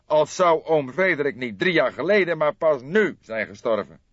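A man voicing a violent gangster in an audiobook, speaking with emphatic, deliberate menace and indignation. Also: a little high, lively intonation, slightly rough, no room sound, highs cut off, voice pushed up. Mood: angry. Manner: agitated, emphatic, deliberate, threatening. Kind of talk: dramatised audiobook character reading.

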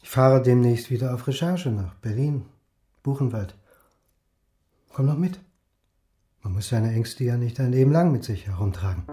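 Dry, wry middle-aged academic. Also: male voice, mid-range voice, lively intonation, moderate pace, long pauses, mellow, husky. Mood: encouraging. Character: wry, wary middle-aged history lecturer. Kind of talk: naturalistic radio drama dialogue.

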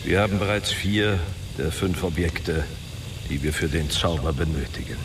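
An actor playing a commanding leader of a secret brotherhood in a fantasy radio drama, speaking formally and with ceremony. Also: male voice, a bass voice, moderate pace, distant mic. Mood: solemn. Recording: loud music behind the voice.

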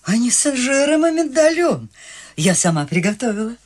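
An actress playing a cheerful, eccentric eighty-year-old countess who talks in a lively, chatty and warmly insistent way in a radio play. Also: female voice, fairly high pitch, moderate pace. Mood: generous. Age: 80s (figure). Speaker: lead character.

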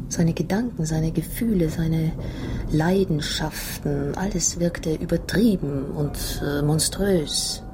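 An actress in a radio drama playing a young Italian wife who tells her past in a halting, dramatic, emotional way. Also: sits low. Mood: fearful, awed.

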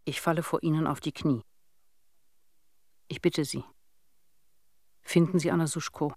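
An actor playing an earnest, imploring villager in a radio play, speaking in simple, halting phrases. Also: male voice, fairly high pitch, steady tone, a little mellow, no room sound. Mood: pleading, sorrowful. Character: earnest villager pleading for a lost neighbour.

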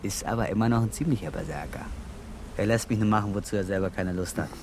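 A man's voice: on the low side, fast talker, slight background noise, lightly acted. An actor playing a brash, pushy young handyman in a German radio crime drama, chatty and coarse.

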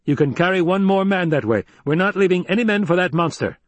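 A man's voice: high voice, animated, brisk, no echo, pitched above the performer's own voice. A man voicing an impatient, commanding nobleman and military leader in an audiobook, barking clipped orders. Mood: urgent, ordering. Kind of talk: dramatized audiobook dialogue.

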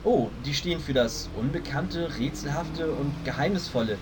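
A man's voice: fairly high pitch, normal speed, noisy background, lightly acted. A man playing a self-important, impatient boy detective in a tongue-in-cheek German fan audio drama. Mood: polite, formal. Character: boy detective leader, precocious, bossy, easily exasperated.

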